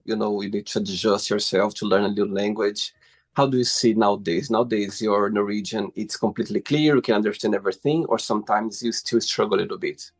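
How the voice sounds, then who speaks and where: A man hosting an interview, asking friendly questions and reacting with enthusiasm. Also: on the low side. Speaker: interview host. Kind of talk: casual interview.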